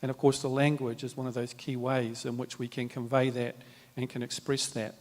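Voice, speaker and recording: Nz guy at a panel talk, thoughtful, lots of ums and restarts, mid pitch, long hall echo. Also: little pitch movement, a little mellow. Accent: New Zealand. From New Zealand. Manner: measured, reflective, frequent fillers and restarts. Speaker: panel speaker. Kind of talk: formal panel talk with Māori greetings. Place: large hall at a live event. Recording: reverberant hall.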